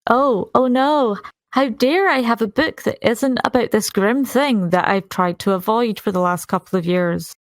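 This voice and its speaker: A woman's voice: fairly high pitch, normal speed, dry recording, clean studio sound. A woman playing a tabletop roleplaying game, casually narrating and speaking for her character.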